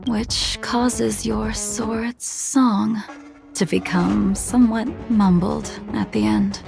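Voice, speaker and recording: A woman voicing a calm, aloof blind swordswoman in an English game dub, measured, formal and knowing. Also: mid-range voice, vocal fry, over music. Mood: composed, appraising.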